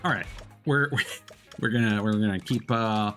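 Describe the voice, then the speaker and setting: A man chatting casually while live streaming a game, explaining things in a rambling, halting way. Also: fairly low pitch, a bit bright, soft background music. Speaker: live streamer playing games. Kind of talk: casual chat while gaming.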